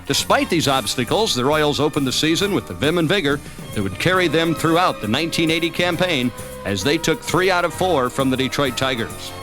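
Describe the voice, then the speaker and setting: American man narrating over prominent music. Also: on the high side. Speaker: highlight film narrator. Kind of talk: scripted sports documentary narration. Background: background music.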